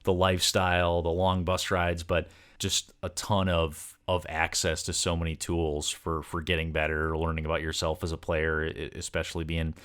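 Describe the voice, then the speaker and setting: Man, low-pitched voice, podcast host, conversational, wordy, meandering interview style. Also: monotone, moderate pace, few pauses, fairly bright tone, clean tone. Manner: wordy, meandering, multi-part questions.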